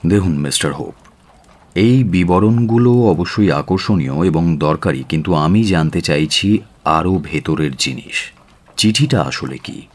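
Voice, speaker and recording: Confident detective, very low pitch, composed, courteous, firm, radio drama. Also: male voice, animated, unhurried. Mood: insistent. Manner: composed, courteous, firm, drops in English phrases. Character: cool, self-assured detective, politely blunt. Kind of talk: Bengali radio drama acting.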